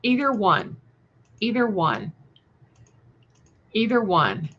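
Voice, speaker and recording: A woman livestream host talks at an unhurried pace through slightly dull, lo-fi audio. She chats casually with viewers, gushing endearments and drifting from one remark to the next. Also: mid-range voice, very animated, fairly bright tone. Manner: gushing, affectionate terms of endearment, scattered and conversational. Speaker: livestream host and channel creator. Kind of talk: casual livestream chat, reading and answering viewer comments.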